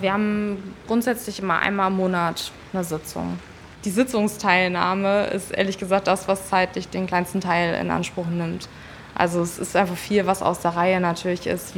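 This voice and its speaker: A woman's voice: lowish voice, slight background noise. A woman giving spontaneous, explanatory answers as an interview guest. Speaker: interviewee, volunteer citizen member of an advisory body.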